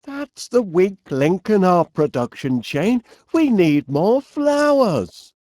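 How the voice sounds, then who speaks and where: An actor playing a cheery, absent-minded middle-aged inventor with a Northern English accent, bumbling and chatty in an animated comedy game. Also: male voice, high-pitched, takes it slowly, no room sound, studio quality, heavily acted. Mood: genial musing. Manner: bumbling, chatty, hesitant, mild exclamations.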